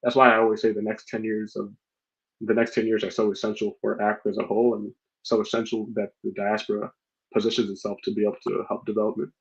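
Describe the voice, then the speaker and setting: Low-voiced man on a muffled call. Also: monotone, fast talker. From Congo. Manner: earnest, reflective, many fillers and restarts. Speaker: organization founder, guest. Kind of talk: live online conversation. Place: home room, map on wall behind. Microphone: video call. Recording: muffled lo-fi audio.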